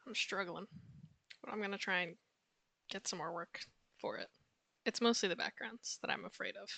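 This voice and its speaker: Hoarse, chatty young woman streamer. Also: mid-range voice, moderate pace, bright, forceful tone, no room sound. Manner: chatty, self-deprecating, lots of fillers. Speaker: comic artist streamer. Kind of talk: casual rambling while drawing.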